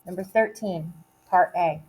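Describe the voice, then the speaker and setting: A woman reading aloud like a teacher giving a test, in a measured, deliberate way with short, separated phrases. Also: lowish voice, a little mellow, breathy edge, rough recording. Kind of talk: read-aloud of multiple-choice questions and quoted play lines.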